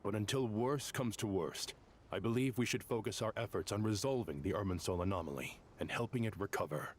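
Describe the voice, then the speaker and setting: An actor playing a serious, decisive leader in a video game, formal, measured and businesslike. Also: male voice, lowish voice, slight background noise, natural voice. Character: composed authoritative male leader, serious and decisive.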